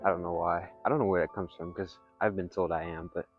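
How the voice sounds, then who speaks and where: A young man speaks in a soft, somewhat low voice with a constant congested, nasal quality. His delivery is halting and rambling, full of fillers and restarts, like a candid personal vlog. The recording sounds a little dull and lo-fi, with faint music underneath. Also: level tone. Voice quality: congested, nasal from blocked nose; soft, not loud. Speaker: vlogger.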